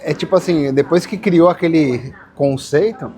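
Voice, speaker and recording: Middle-aged guy w/ são paulo accent, fairly high voice, casual slangy interview chat. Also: normal speed, mellow. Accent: São Paulo Brazilian. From São Paulo, Brazil. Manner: informal, slangy. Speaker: interview guest, furniture designer.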